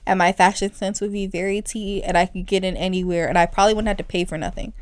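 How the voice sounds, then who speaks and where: Animated young woman, chatty podcast co-host. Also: fast, smooth, no echo. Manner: animated, rambling, gets loud and shrill when excited. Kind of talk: casual chat.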